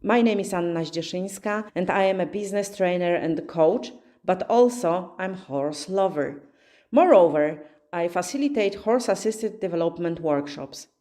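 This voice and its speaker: A woman hosting a podcast, opening with a scripted intro and then interviewing her guest at a slow, measured pace. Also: fairly low pitch, warm tone. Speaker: podcast host and coach.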